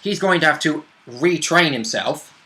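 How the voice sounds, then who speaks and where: A man's voice: a little high, expressive, moderate pace, bright, forceful tone. A man playing a tabletop roleplaying game, chatting casually and informally with mild swearing.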